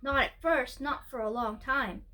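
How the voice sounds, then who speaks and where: A woman's voice: sits high, a little slow, sharp tone, a little hiss or hum. A woman voicing a timid, polite little princess in a storybook, with an innocent, plaintive manner. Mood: tearful, plaintive.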